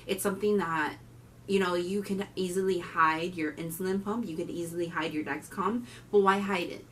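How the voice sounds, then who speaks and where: Woman, 20s, Miami accent, low voice, casual vlogger, slight room noise. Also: distant mic. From Miami, Florida. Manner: chatty, candid, swings into loud bursts. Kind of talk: casual talk to camera.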